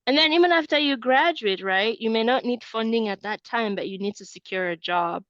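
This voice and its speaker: A woman's voice: on the high side, a little fast, fairly bright tone, no echo, highs cut off. A woman in her thirties from Cameroon, presenting a webinar as an admissions coach and often adding "right?" to her points. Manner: peppers points with tag question "right?".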